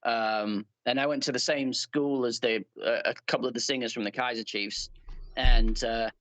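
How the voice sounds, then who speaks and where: An English man from Leeds chatting as a guest, earnest and halting with many fillers and restarts. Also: medium pitch, fairly even intonation, a bit bright, no room sound, rough recording. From Leeds, England. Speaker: guest player. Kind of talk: casual chat.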